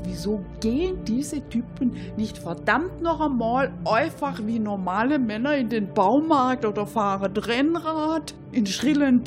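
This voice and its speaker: A high-pitched Swabian cleaning lady, played by a woman who loves to chat. She is folksy and mock-naive, full of rhetorical questions and homespun indignation, in the style of a satirical radio sketch. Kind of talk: comic satirical radio monologue.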